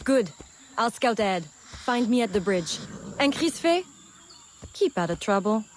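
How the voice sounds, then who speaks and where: A woman's voice: a little high, very animated, a little slow, a little hiss or hum. A woman playing a bold, sardonic female smuggler and scout in a video game, confident and playfully wry. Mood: brisk, resolute. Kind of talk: video game character acting.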